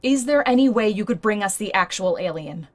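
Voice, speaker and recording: A woman's voice: medium pitch, lively intonation, a little fast, natural voice. Frank, persuasive woman acting a shrewd journalist. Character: shrewd, blunt female journalist negotiating a risky deal. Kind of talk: naturalistic audio drama acting.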